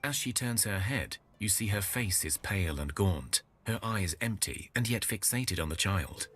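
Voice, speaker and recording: Man, deep voice, lively intonation, dramatic storytelling read, faint music behind. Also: moderate pace. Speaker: game narration reader. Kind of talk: reading game event text aloud.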